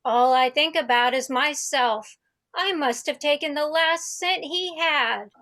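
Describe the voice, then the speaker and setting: A woman's voice: high voice, measured pace, sharp tone. A woman playing a grateful, troubled friend praying with earnest remorse in a radio play. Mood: remorseful.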